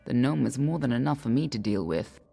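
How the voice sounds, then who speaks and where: Very deep, husky, guarded woman. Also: lively intonation, brisk, dark tone, faint music behind, heavily acted. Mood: dry, reassuring. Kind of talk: dramatic video game dialogue.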